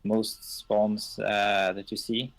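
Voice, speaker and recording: A man whose first language is Norwegian, co-owner of a game server, talking haltingly on a casual live stream with lots of fillers. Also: lowish voice, little pitch movement. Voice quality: gravelly.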